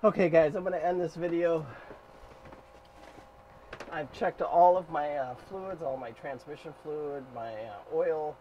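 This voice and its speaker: Man, fifties, boat owner narrating his own repair, somewhat high voice, loud engine noise behind. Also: a little slow, pauses often, highs cut off. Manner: plain practical talk with fillers, thinking aloud while working. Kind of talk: hands-on repair walkthrough. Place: cramped boat engine room. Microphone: action camera mounted in the engine room. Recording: noisy engine room. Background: running boat engine.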